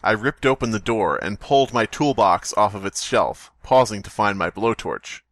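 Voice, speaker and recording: A man's voice: lowish voice, moderate pace, fairly bright tone, no room sound. A man hosting a comedy podcast, bantering and reading a story aloud for laughs. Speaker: podcast host.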